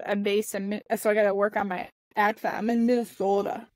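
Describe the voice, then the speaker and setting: A woman, a podcast guest in relaxed conversation, chatty and quick to laugh. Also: medium pitch, no room sound. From Michigan, USA. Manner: chatty, laughs often, restarts sentences. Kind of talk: casual chat.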